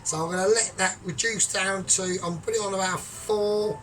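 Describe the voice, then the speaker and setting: A man's voice: high voice, fairly even intonation, moderate pace, slight background noise. Rambling, sweary man cooking far from mic. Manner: softly spoken, often trailing off quiet. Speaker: home cook vlogger. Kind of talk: casual cooking vlog. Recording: distant mic with music behind.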